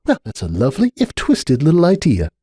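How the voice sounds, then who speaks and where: Man putting on a high-pitched, indignant cartoon pony mother. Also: huge pitch swings, fairly quick, dark tone, husky, dry recording, studio quality, full character voice. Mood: dismissive. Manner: curt, dismissive. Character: defensive, indignant pony mother, stubborn and sharp-tongued.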